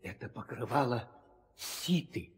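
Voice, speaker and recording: A man's voice: medium pitch, expressive, measured pace, lightly acted. A man playing a noble, courteous warrior prince in an old audio play, speaking formally and solemnly. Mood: sorrowful, courteous. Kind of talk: 1960s Soviet audio play acting. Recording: old studio record with long reverb.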